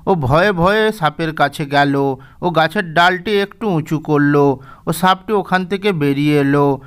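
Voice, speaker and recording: A man narrating a cartoon fairy tale in a measured, gently didactic storybook manner. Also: a little high, clear, resonant tone.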